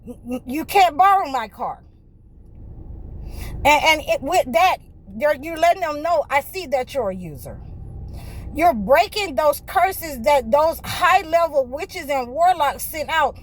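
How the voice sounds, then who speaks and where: Fervent high-voiced woman preaching. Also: expressive, measured pace, rough recording. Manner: fervent, repetitive, many restarts and "you know" fillers. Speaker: spiritual healer and channel host. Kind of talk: preaching monologue to viewers.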